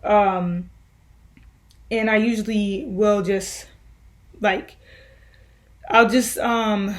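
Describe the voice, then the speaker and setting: A young woman musician answering viewer questions in a loose, rambling way, with many fillers and restarts. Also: medium pitch. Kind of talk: casual Q&A vlog.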